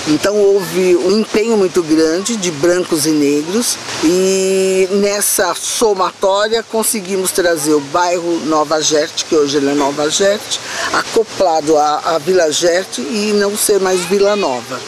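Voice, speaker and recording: A man's voice: high voice, measured pace. A man in a documentary interview, reflectively recounting the history of his neighborhood. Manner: reflective storytelling. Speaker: community member interviewee. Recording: clear background noise.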